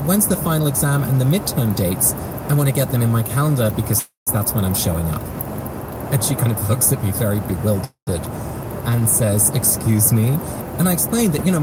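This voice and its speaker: Wry storytelling male podcast host. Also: mid-range voice. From Europe. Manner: self-deprecating, wry. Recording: loud background noise.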